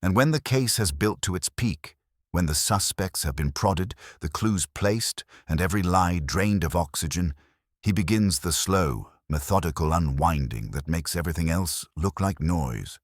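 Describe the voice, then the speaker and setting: Male audiobook narrator for vintage whodunit: bottom-register voice, animated intonation, dry sound. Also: deep voice, moderate pace, dry recording. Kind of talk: scripted narration of a vintage detective story.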